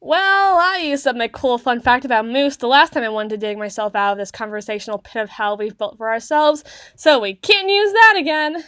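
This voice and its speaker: A woman acts out a socially awkward, bookish tsundere girl in her young adulthood for an ASMR roleplay. Her voice sits fairly high and her phrasing is wordy and halting, easily flustered. The sound is very dry and close, with no room echo. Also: lively intonation, a bit bright. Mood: defensive, awkward. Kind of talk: ASMR roleplay, acted monologue to the listener.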